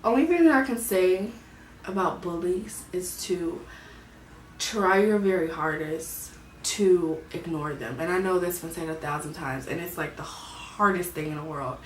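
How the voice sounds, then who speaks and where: A woman, vlogging about her own life in a soft, hesitant way, often searching for words. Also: lowish voice, a little hiss or hum. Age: 20s. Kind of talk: personal confessional vlog. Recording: echoey room, mic at a distance.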